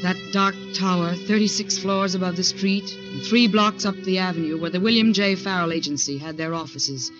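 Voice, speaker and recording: A woman's voice: fairly low pitch, moderate pace, few pauses, lightly acted. An actress playing an ambitious, defiant young woman in advertising who tells her own story in a 1940s radio drama. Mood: brooding. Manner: dramatic, intense first-person storytelling. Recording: dull 1940s radio recording with music.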